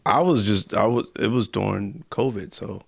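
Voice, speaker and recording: A man's voice: on the low side, moderate pace, vocal fry, dry recording, studio quality. An American man chatting casually as a podcast host, speaking colloquially and repeating phrases for emphasis.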